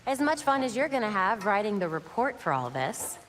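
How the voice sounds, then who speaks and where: A wry young vigilante, mid-pitched, long reverb tail, noisy game audio. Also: female voice, big pitch swings, a little fast, fairly bright tone. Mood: teasing. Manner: wry, teasing, then resolute. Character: young woman vigilante, quick-witted and determined.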